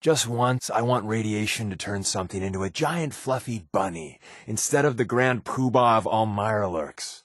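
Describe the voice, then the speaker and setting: An actor voicing a wry, sarcastic undercover agent who tells playful tall tales, as companion lines for a video game. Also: male voice, fairly low pitch, lively intonation, moderate pace, few pauses, no echo, studio quality. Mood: combat banter, exasperated. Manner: wry, joking, sardonic.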